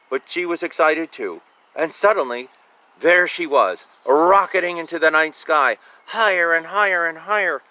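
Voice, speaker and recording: A man's voice: a little high, pushed and bright. A man reading a children's picture book aloud expressively and informally, drawing out words and adding casual asides about the pictures. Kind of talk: animated storybook read-aloud with casual asides.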